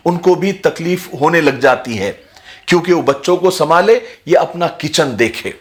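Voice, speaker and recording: A man giving an earnest, measured address to his viewers as a religious motivational speaker, in formal wording. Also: high voice, a bit bright. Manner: measured, appealing, formal vocabulary.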